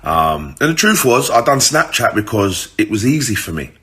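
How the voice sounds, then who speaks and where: A man's voice: lowish voice, sing-song, normal speed, bright, forceful tone. A man with a British urban accent telling a story casually to his followers, informal, slangy and sweary.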